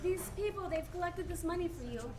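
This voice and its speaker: Actress as loving excited wife, very high pitch, earnest, 1940s radio drama, noisy live recording with crowd. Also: female voice, fairly quick. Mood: tender, joyful. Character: loving, excited wife rallying her neighbours. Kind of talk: 1940s radio play acting.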